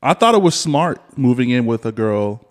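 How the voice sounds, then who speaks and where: A man's voice: medium pitch, normal speed. Man, thirties, podcast host, casual chat, laid-back, candid. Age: 30s. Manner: relaxed, laughing, frank.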